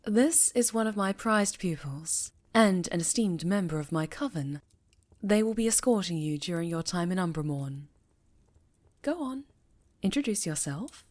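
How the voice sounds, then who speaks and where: A woman playing a stern, commanding witch who leads a coven, speaking formally and with measured authority in an ASMR fantasy roleplay. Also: fairly low pitch, lively intonation, darkish tone. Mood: gracious, formal. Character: stern, commanding female high enchantress leading a witch coven.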